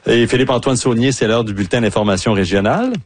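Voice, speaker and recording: Male live radio news host, Quebec French accent, husky edge, conversational. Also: mid-range voice, quick pace.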